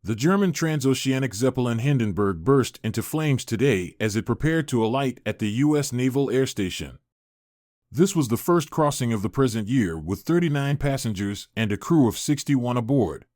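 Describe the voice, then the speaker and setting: A man's voice: mid-range voice, lively intonation, normal speed, darkish tone, no echo. Man narrating news reports, dry sound. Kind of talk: scripted narration, reading old newspaper reports.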